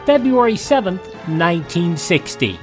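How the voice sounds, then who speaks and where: A man's voice: high-pitched, very animated, normal speed, loud music behind the voice. A man hosting a radio show, reading a scripted introduction. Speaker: host.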